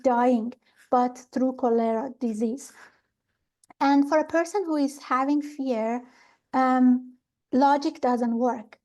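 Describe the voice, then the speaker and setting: A middle-aged woman from Iran calmly explaining pranic healing ideas in an interview, pausing often with fillers and restarts. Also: high voice. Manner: calm, thoughtful, with many fillers and restarts.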